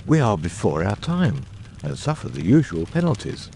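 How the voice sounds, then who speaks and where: A man acts out a brilliant middle-aged detective in an audiobook drama, with a very low, creaky voice. He is exacting and theatrical, phrasing eruditely with wide swings of pitch and deliberate pauses. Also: huge pitch swings, a little mellow, a little hiss or hum, full character voice. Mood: brisk, reasoning.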